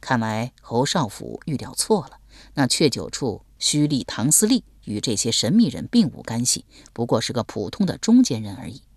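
A woman voicing a mild, weary male swordsman in an audiobook, soft-spoken, calm and resigned. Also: rumbling low, normal speed, no echo, studio quality, pitched below the performer's own voice, heavily acted. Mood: calm, measured.

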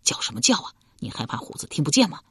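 A woman's voice: a bass voice, big pitch swings, a little fast, slightly rough, dry recording, studio quality, heavily acted. A woman storyteller playing a ruthless, ambitious husband from ancient times, domineering and coldly persuasive. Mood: hushed, urgent.

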